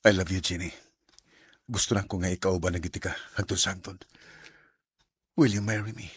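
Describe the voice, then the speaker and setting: Casting: lovestruck young man, Cebuano accent, deep husky voice, radio drama romance. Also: fairly even intonation, normal speed, no room sound. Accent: Cebuano Filipino. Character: earnest lovestruck young man, a pleading suitor. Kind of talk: Bisaya radio drama acting. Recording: heavy music under the voice.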